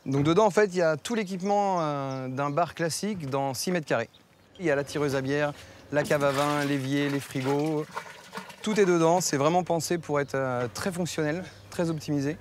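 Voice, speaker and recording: A man in his thirties, owner of a mobile bar, explaining his project in a relaxed interview, pausing often with fillers. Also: fairly high pitch, slight background noise. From France. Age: 30s. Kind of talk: news interview. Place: outdoors at a rural bar event.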